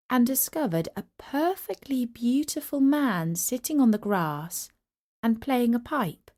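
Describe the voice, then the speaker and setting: A woman narrating a scripted children's story as its host, expressive and carefully phrased. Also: mid-range voice.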